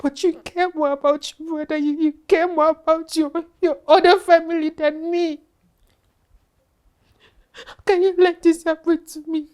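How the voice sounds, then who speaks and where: A woman with a very high, piercing voice plays a mother undone by grief. She sobs and accuses, repeating herself through tears. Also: little pitch movement, normal speed, a little mellow. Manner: sobbing, accusing. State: crying. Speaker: grieving mother. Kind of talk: melodrama acting.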